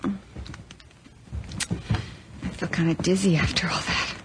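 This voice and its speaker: A woman's voice: fairly low pitch, steady tone, takes it slowly. An actress playing a frightened young female student in a radio drama, guarded yet tender, hesitant in her speech. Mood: weary, soft. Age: young adult. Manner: hesitant, breathy murmurs and urgent pleas. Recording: noisy, clicky old radio drama recording.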